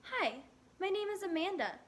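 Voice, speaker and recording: A woman's voice: very high voice, big pitch swings, normal speed, pitched above the performer's own voice. A woman voicing a shy, earnest young schoolgirl in a storybook, speaking hesitantly at times. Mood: cheerful.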